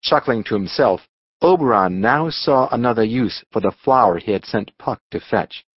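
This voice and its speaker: A man narrating a storybook audiobook in a measured, expressive storytelling manner. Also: medium pitch, husky, dry recording, lo-fi sound.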